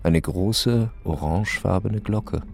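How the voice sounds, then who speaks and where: Slow, low, gravelly male narrator. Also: soft background music. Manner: terse, matter-of-fact, clipped fragments. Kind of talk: scripted radio play narration.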